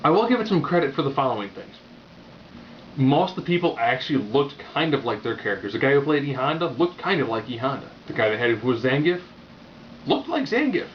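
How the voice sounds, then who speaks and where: A man with a mid-pitched voice talks through a movie review off the cuff, casual and opinionated. His delivery is wry and rambles a little. The recording is dull and lo-fi, with faint noise behind him. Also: very animated, fairly quick. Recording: muffled lo-fi audio with background noise.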